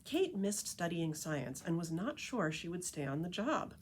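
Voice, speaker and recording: A woman reading a children's picture book aloud as a storyteller, with expressive, deliberate emphasis on key phrases. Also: lowish voice, slight background noise.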